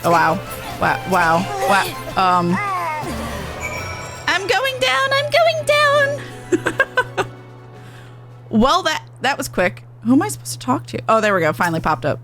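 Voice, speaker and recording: Bright-voiced, giggly woman gamer. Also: sits high, huge pitch swings, slow pace, pauses often, faint music behind. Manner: playful, giggly. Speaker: game streamer reading quest dialogue aloud. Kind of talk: casual chat, game playthrough commentary.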